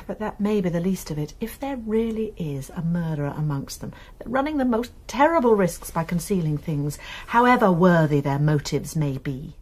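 A woman playing a college nurse and amateur sleuth in a radio drama, gentle, earnest and persuasive. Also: fairly low pitch, expressive, normal speed.

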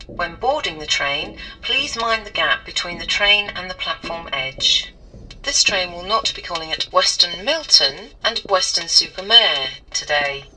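A woman with a British accent making formal, measured station announcements, phrase by phrase. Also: fairly low pitch, lively intonation, sharp tone, recorded from a distance, soft background music, poor audio. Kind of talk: recorded public announcements.

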